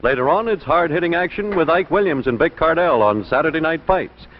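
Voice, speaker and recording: Lively American announcer man, vintage broadcast. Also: on the high side, fairly quick, dull audio. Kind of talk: scripted 1950s promotional announcing.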